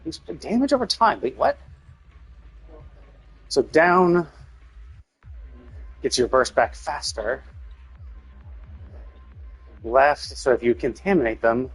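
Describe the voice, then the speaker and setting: A man's voice: mid-range voice, expressive, slow, creaky, long pauses, breathy edge, faint music behind, low-quality recording. A man doing casual live-stream commentary on a game, rambling analytically as he thinks aloud.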